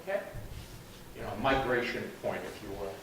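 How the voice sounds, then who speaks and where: A man's voice: mid-range voice, lively intonation, moderate pace, background noise. A man presenting at a computer club meeting, explaining a demo step by step in a chatty way and saying "okay" often. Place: meeting room, heard from a distance. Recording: echoey room, far from the mic.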